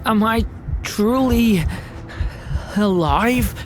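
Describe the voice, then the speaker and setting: A woman playing a gentle young dragon boy in a video game, speaking in an earnest, halting, searching way. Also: on the high side, music in the background. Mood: weak, fading.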